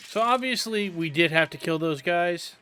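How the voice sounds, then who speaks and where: A man's voice: high-pitched, expressive, moderate pace, pushed and bright, slight background noise. A man hosting a let's-play, giving casual commentary with dry, wry asides. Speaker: gaming channel host.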